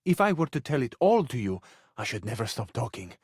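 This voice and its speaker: A man's voice: medium pitch, big pitch swings, a little fast, a little mellow, husky, dry recording, studio quality. A man voicing a suave, passionate living cabinet in a video game, with an Italian accent and a florid, flirtatious, earnest way of talking. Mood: flirtatious, tender. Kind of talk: theatrical romantic video game voice acting.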